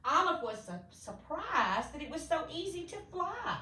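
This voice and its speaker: A woman reading a children's storybook aloud expressively, with theatrical, deliberate emphasis for young listeners. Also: sits high, big pitch swings, sharp tone. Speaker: storybook reader. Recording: echoey room, distant mic, faint noise.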